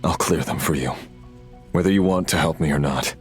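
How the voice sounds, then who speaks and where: An actor playing a sombre, abyss-corroded resurrected warrior in a video game, grave and remorseful, with halting, heavy pauses. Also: male voice, rumbling low, faint music behind. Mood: solemn, pleading. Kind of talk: dramatic video game dialogue.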